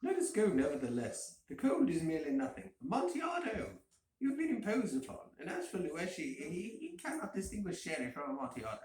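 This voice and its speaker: A man voicing a drunken, excitable, boastful man who speaks in insistent exclamations for a story reading. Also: on the high side, brisk, roomy sound, voice pushed up, rough recording. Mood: eager, insistent.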